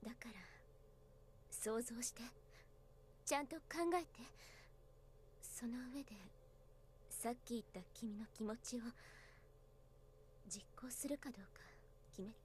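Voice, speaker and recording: A woman playing a gentle, composed schoolteacher in a visual novel, speaking softly and breathily with patient phrasing. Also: on the high side, fairly quick, pauses often, a little hiss or hum, lightly acted. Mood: serious. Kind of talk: Japanese visual novel voice acting.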